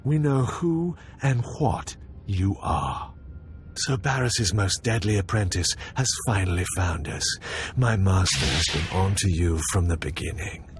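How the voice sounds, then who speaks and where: A man's voice: sits low, lively intonation, measured pace, soft background music. A man voicing a suspicious, scornful Sith lord in a video game.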